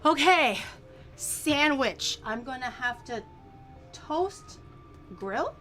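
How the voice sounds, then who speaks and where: A woman whose first language is Mandarin chats away on a casual cooking stream, playful and quick to giggle. Her voice sits very high. Music plays clearly behind her. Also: expressive, pushed and bright. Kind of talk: casual cooking livestream chat. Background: background music.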